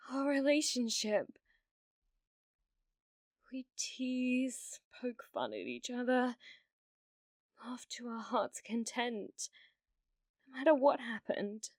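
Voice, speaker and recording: Close and dry, this is a high British female voice, a woman acting as a clingy, delusional young streamer in an ASMR roleplay. She pleads slowly and haltingly, stumbling over words. Her delivery swings from breathy whispers to desperate outbursts. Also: a little mellow, dry recording. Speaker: ASMR voice actress.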